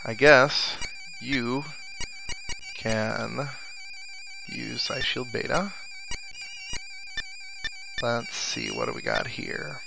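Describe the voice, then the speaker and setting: A man's voice: mid-range voice, sing-song, extremely slow, creaky, pauses often, rough recording. A man giving casual video game commentary, musing to himself and reading bits of the story aloud. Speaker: let's play commentator. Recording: game music behind the voice. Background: video game music and sound effects.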